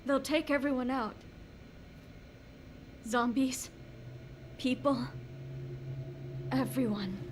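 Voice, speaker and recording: A woman's voice: sits high, takes it slowly, long pauses, background noise. A woman playing an urgent, serious government agent in a dramatic video game cutscene. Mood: grave.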